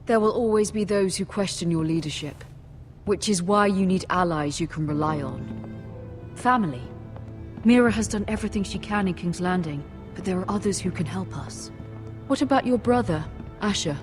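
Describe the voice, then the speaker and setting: A woman playing a composed, low-voiced noble mother. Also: moderate pace, music in the background, close to an everyday voice. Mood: persuasive. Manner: composed, authoritative, calm. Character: dignified noble mother, lady of the house.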